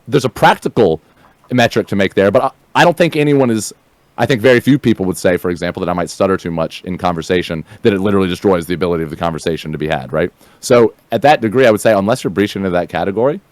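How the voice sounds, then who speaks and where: Passionate fast-talking man, low dry voice. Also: darkish tone, no echo. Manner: fast, passionate, swearing, repeats words. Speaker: streamer guest. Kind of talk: casual chat.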